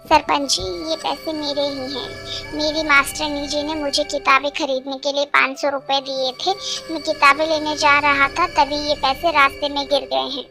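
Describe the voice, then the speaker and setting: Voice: sits high, level tone, moderate pace, pushed and bright, soft background music. A performer voicing an earnest, honest, polite young schoolboy in a cartoon. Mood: earnest pleading.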